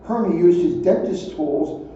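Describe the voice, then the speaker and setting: Male storybook reader, expressive, higher-pitched, recorded distant in a reverberant space. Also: a little slow. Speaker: police officer reading a children's story. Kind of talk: expressive storybook read-aloud. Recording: echoey, distant, muffled, faint noise.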